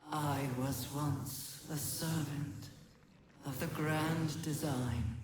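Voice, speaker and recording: Echoing, slow, vast otherworldly entity. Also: background noise. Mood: sorrowful. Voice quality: slow, weighty delivery. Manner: grave, halting, portentous. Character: vast enslaved hive-mind entity, solemn, imperious, pleading. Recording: long cavernous reverb over rumbling ambience.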